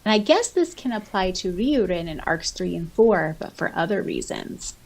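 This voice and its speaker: A woman with a medium-pitched voice talks as a podcast co-host. She is informal and enthusiastic, switching between casual chat and reading written comments aloud. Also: very animated, moderate pace, poor audio.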